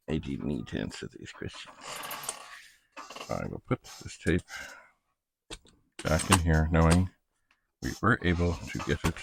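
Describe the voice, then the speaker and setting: A man with a low voice talks his way through a hands-on demonstration in a casual ramble. His pitch swings widely as he halts and mutters to himself. Clear background noise sits behind him. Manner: muttering to himself, halting, thinking aloud. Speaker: retro computer hobbyist host. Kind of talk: casual rambling demonstration while testing equipment.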